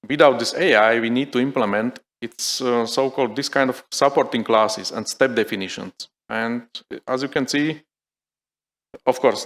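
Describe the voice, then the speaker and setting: A man's voice: medium pitch, fairly bright tone. Man presenting a live demo. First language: Slovak. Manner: halting, many fillers and restarts, thinking aloud. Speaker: conference speaker. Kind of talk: live technical demo talk. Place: conference hall.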